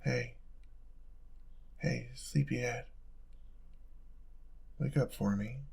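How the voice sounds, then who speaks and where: A young man playing an affectionate, playful roommate in an intimate audio roleplay, talking in a soft, casual, teasing way. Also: mid-range voice, very slow, vocal fry, husky, exaggerated voice. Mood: gentle, caring.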